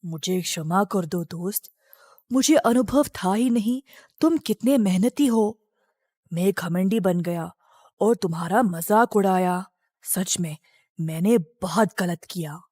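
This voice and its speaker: A woman's voice: medium pitch, slow, warm tone, no room sound, studio quality, pitched below the performer's own voice. A woman voicing an arrogant male war horse in a children's animal story. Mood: remorseful.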